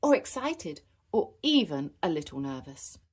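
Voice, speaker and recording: A woman's voice: sits low, big pitch swings, a little slow, breathy edge, heavily acted. Casting: storybook gazelle teacher, woman performer; kindly, patient, encouraging, lots of melody in the delivery. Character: kindly adult female gazelle schoolteacher. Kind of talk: children's storybook character read aloud.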